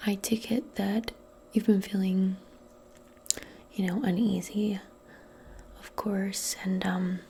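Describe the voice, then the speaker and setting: A woman role-playing in soft-spoken ASMR, speaking gently and soothingly in a breathy, mostly whispered voice. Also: mid-range voice, flat delivery, slight background noise. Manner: gentle, soothing, unhurried, conversational. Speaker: ASMR performer role-playing a modern witch.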